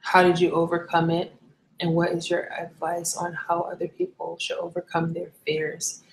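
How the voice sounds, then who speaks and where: A woman's voice: lowish voice, monotone, normal speed. A young woman from Barbados chatting casually on a live stream, candid and rambling, often correcting herself mid-sentence. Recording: echoey room.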